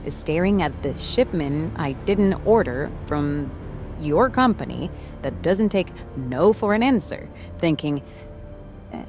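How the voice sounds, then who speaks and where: A woman playing a world-weary, foul-mouthed, sardonic diner manager in a horror comedy audio drama, with halting delivery. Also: low voice, animated. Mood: weary, wry. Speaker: lead voice actor. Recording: music behind the voice.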